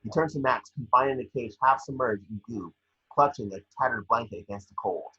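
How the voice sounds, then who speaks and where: Very raspy man reading scene descriptions. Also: mid-range voice, a little fast, pushed and bright, highs cut off. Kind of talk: scripted narration at a table read.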